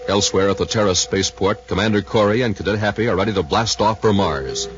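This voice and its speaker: A man announcing and pitching as on 1950s radio, with punchy, exuberant salesman delivery. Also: fast, music in the background. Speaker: announcer and narrator. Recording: muffled, roomy 1950s radio recording.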